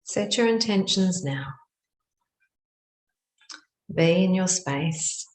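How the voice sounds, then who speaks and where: In an echoing, roomy space, a woman leads a guided meditation. Her voice sits low and moves very slowly, in short hushed phrases separated by long pauses. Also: lively intonation.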